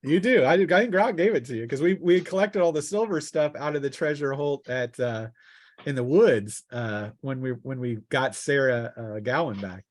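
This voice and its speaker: A man runs a tabletop role-playing session as game master. His medium-pitched voice moves casually between rules calls and vivid, improvised action. The sound is dry, with very little room in it. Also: animated, moderate pace, dry recording. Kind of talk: narrating and refereeing a tabletop game.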